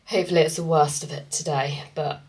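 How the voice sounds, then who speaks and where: A woman's voice: sits low, fairly even intonation, a little fast, darkish tone, slight background noise. A woman vlogging casually as a fitness coach, congested from a cold, rambling with lots of fillers. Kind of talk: casual vlog chat to camera.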